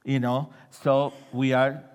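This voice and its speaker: A man from Argentina with an Argentine Spanish accent, preaching a sermon and telling humorous stories, dropping his voice low for the punchlines. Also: slow pace, clear, resonant tone, in a large hall. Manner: animated storyteller, drops voice low for punchlines. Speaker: guest preacher.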